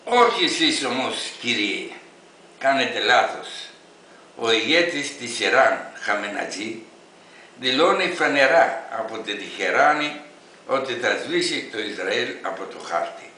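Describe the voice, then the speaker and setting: A man in his eighties from Greece reading an open letter aloud in an emphatic, declamatory way, with deliberate phrasing. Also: medium pitch, animated, pushed and bright, roomy sound, slight background noise, rough recording. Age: 80s.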